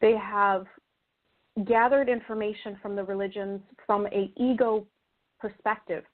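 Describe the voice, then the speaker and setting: A woman with a mid-pitched voice speaks as a guest in an interview. She is earnest and conversational, rewording her thoughts as she goes. Her audio is thin and narrow, like a phone call. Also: fairly even intonation, a little slow.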